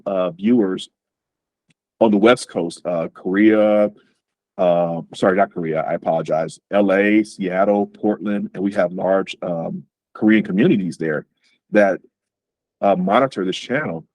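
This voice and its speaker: A man's voice: lowish voice, a little mellow, no room sound. A man hosting an interview over a video call, speaking casually with many fillers and repeated words. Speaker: host.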